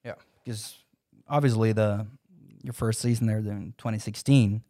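A man hosting a casual chat, recapping a player's season in a steady, even way. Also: on the low side, a little slow.

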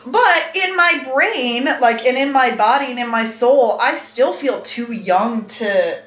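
A woman's voice: on the high side, lively intonation, takes it slowly, fairly bright tone, echoey room, lo-fi sound. A woman in her thirties chatting casually as a podcast co-host, blunt, swearing freely and laughing often. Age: 30s. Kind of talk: casual chat.

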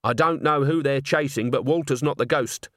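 A man's voice: medium pitch, moderate pace, fairly bright tone, no room sound, studio quality, lightly acted. A man voicing an earnest young woman who speaks firmly and heatedly in a storybook reading. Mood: indignant. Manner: firm, heated.

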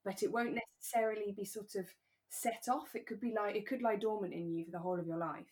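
A woman co-hosting a relaxed conversational podcast, talking in a candid, reflective and careful way. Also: mid-range voice, fast. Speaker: podcast co-host.

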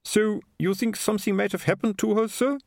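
A man's voice: high voice, steady tone, fairly quick, vocal fry, darkish tone, no echo, studio quality, voice pushed up. A man voicing a deferential, literal-minded town watch sergeant with a dutiful, puzzled manner in a storybook reading.

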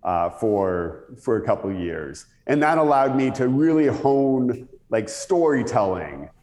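A Canadian man speaks in an echoey, reverberant space. His radio-style voice moves in lively swings of pitch as he tells anecdotes in a conversational interview manner. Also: a little slow. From Ontario, Canada. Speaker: interview guest. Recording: echoey room with long reverb.

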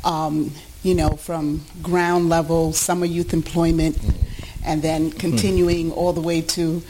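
A woman's voice: sits low, level tone, unhurried, warm tone, a little hiss or hum, poor audio. A middle-aged woman from New York, born in Puerto Rico, giving earnest, explanatory answers as an interview guest. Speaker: guest, youth education founder.

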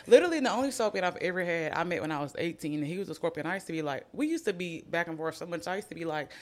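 A woman's voice: fairly low pitch, animated, very fast, a little mellow. A woman in her twenties hosting a podcast, chatting casually with slang and frequent laughter. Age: 20s. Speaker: podcast host. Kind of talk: casual chat.

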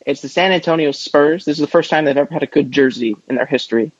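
A man's voice: on the high side, quick pace, husky, dry recording. A man co-hosting a casual sports chat in an informal, hedging way, on a slightly muffled microphone. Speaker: podcast co-host.

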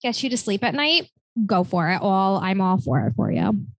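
A woman in her late twenties co-hosting a podcast, telling stories in a chatty, animated way full of "like" fillers. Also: medium pitch, normal speed, no room sound. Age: 20s. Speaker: podcast co-host. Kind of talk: casual chat.

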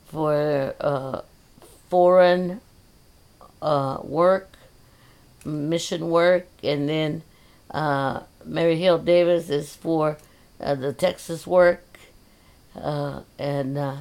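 Halting, gravelly-voiced middle-aged woman. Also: deep voice, steady tone, a little mellow. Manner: hesitant, halting, many fillers and restarts. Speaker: guest, mother of the host. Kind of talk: casual family chat.

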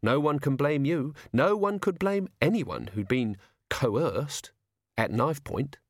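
A man's voice: medium pitch, lively intonation, slow, dry recording, clean studio sound, pitched above the performer's own voice. A man voicing a polite, menacing young upper-class killer in an audiobook. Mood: reasonable menace. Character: genial, menacing young male toff assassin.

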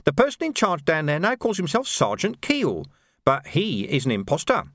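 A man's voice: fairly high pitch, wildly swooping pitch, moderate pace, a bit bright, dry recording, clean studio sound, voice pushed up, exaggerated voice. A man voicing a menacing, unhinged male sergeant who talks with sneering mock politeness.

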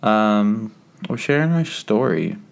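A man's voice: on the low side, big pitch swings, takes it slowly, vocal fry. A man co-hosting a podcast with his wife, casual and joking, chiming in with short asides. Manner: laid-back, jokey, chimes in with short asides. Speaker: podcast co-host. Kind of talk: casual chat.

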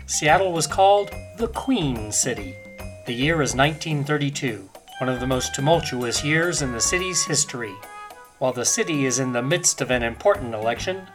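Man, announcer, mid pitch, dramatic scripted narration, old-time radio style, music behind. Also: poor audio.

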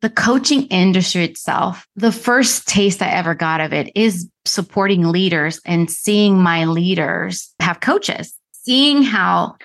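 Earnest middle-aged woman from the dominican republic, lowish voice, talks coaching in an interview, speeds up and slows down a lot. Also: expressive, vocal fry, a bit bright. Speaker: executive spiritual coach, guest. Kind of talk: conversational interview.

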